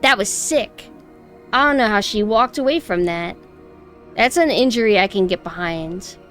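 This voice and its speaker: A young woman with a high voice, chatting casually and snarkily on a stream, her close mic clipping on loud peaks. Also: lively intonation, moderate pace, faint music behind. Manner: chatty, rambling, mockingly snarky. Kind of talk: casual reaction stream chat. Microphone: close mic that clips loud peaks.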